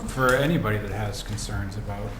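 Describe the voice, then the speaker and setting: Man, board member, earnest, mid pitch, echoey room, hiss. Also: fairly quick. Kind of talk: board meeting discussion. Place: village board meeting room.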